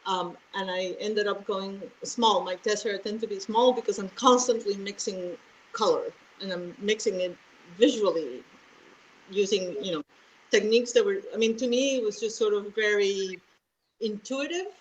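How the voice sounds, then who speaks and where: Woman in her fifties, unhurried. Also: medium pitch, a little hiss or hum, poor audio. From Venezuela. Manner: thoughtful, rambling, laughs lightly. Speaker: mosaic artist and panelist. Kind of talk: casual panel talk. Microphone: video call.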